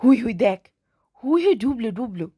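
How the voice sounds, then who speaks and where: A woman voicing a rugged, weathered male river ferryman who speaks in rustic village talk, calm and commanding. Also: very high voice, normal speed, husky, no echo, studio quality, heavily acted. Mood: urgent. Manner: rustic village speech, calling out orders.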